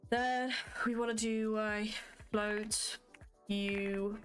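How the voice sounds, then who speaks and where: A woman's voice: mid-range voice, fairly even intonation, very slow, faint music behind. A woman whose first language is not English, casually chatting with viewers while live coding and thinking aloud with many fillers. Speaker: livestreamer coding a graphics engine.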